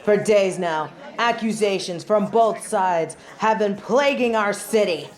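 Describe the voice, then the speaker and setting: A woman performs a haughty female angel who presides as judge in an audio drama. She speaks slowly and formally, with pompous, theatrical command, at a middling womanly pitch. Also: close to an everyday voice. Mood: formal, imperious. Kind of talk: scripted audio roleplay.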